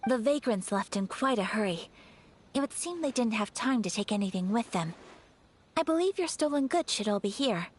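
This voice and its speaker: A woman playing a refined young noblewoman in a video game, formal, courteous and gently firm. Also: a little high, expressive, normal speed, a little hiss or hum, close to an everyday voice. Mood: composed. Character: refined young noblewoman, polite, principled and composed. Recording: faint game music under the voice.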